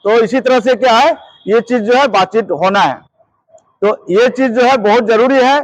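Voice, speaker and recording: A man's voice: very high-pitched, moderate pace, no echo, lo-fi sound. A man teaching as a security trainer, repeating points and often checking that listeners follow. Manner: repetitive, checks understanding often. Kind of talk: hands-on instructional lesson.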